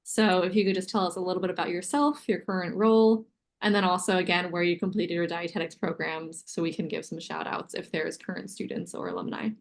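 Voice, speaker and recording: A woman's voice: on the low side, little pitch movement, brisk. Friendly woman moderating a panel. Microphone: video call.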